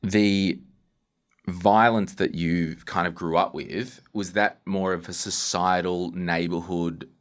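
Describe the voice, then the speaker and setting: A man's voice: low voice, steady tone, slow. A man hosting a podcast interview in a casual, informal way, searching for his words as he goes.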